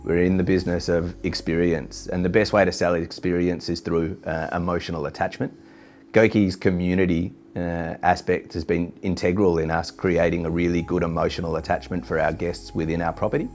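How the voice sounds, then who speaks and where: A man giving a measured corporate testimonial in business language, like an executive being interviewed. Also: low-pitched, flat delivery, a little mellow, slight background noise. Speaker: hostel chain executive. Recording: background music under speech.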